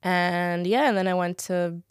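A young woman actor with a British-tinged accent, chatting casually as an interview guest, full of "like" and quick laughs. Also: lowish voice, fairly even intonation, clear, resonant tone, no room sound, clean studio sound. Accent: British-tinged English. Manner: chatty, filler-heavy, laughs often.

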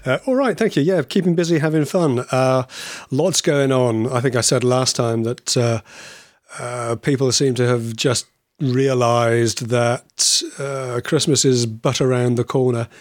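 A man's voice: medium pitch, fairly even intonation, measured pace, no room sound, clean studio sound. A man co-hosting a podcast in friendly casual chat, hesitant with lots of uh sounds and restarts, on a video call. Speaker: podcast co-host.

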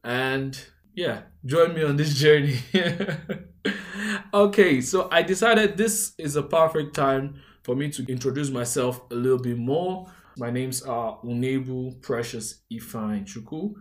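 A man's voice: medium pitch, expressive, a little slow. A young man from Nigeria with a Nigerian accent, hosting a podcast and telling his own life story in an earnest, conversational way. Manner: earnest, conversational, warm asides and shout-outs. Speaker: podcast host. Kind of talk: personal storytelling monologue.

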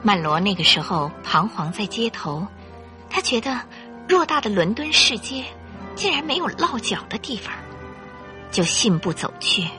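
Female narrator for radio drama, lively swinging intonation, bright tone, suspenseful delivery, music underneath. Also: mid-range voice, normal speed. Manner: theatrical, suspenseful, clearly articulated.

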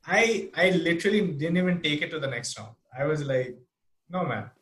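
A man from India hosting an interview, telling a story in a lively, chatty way. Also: a little high, normal speed. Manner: animated storytelling, quoting others. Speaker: interview host. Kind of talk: casual interview chat. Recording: echoey room.

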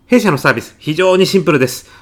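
A man playing a polite, glib, persuasive salesman pitching to housewives in a comedic radio sketch. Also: animated, very fast. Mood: confidential, persuasive.

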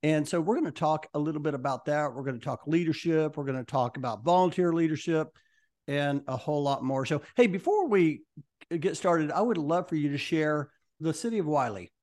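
A man's voice: fairly high pitch, fairly quick, a little mellow. A man hosting a leadership podcast, chatting with a guest in an upbeat, enthusiastic way. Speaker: podcast host. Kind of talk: casual interview chat.

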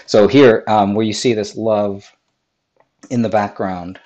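Guy with a cold, raspy and a bit low, rambling live-stream commentary, lots of ums. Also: fairly even intonation, slow pace, a little mellow, muffled recording. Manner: rambling, many ums and restarts. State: hoarse from a cold. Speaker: podcast host. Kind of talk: live-stream commentary over video clips.

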